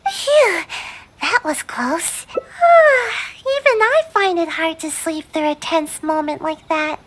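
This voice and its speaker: A woman's voice: very high-pitched, animated, slow, a bit bright, a little hiss or hum, full character voice. A woman playing a small, sleepy, timid young girl ninja in an anime video game, with a whiny, childlike manner. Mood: relieved, sleepy.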